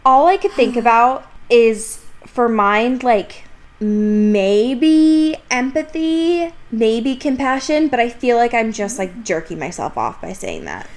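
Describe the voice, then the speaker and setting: A young woman with a fairly high, very lively voice that swings up and down as she talks. She co-hosts a podcast, chatting loosely and irreverently, with plenty of slang and swearing and a self-mocking streak. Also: slow. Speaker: podcast co-host. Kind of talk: casual, irreverent chat.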